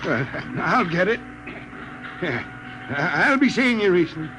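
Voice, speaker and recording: A man's voice: high-pitched, sing-song, slow pace, exaggerated voice. An actor playing an awkward, apologetic spaceship crewman with a hesitant, placating manner in a 1950s radio drama. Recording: noisy vintage radio broadcast.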